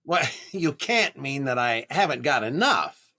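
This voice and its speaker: An actor playing a vain, hapless comedian in 1930s-style radio comedy, with a deliberate, indignant delivery and dramatic pauses. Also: male voice, medium pitch, big pitch swings, sharp tone, exaggerated voice. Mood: wounded disbelief. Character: vain, hapless comedian desperate to prove his sex appeal.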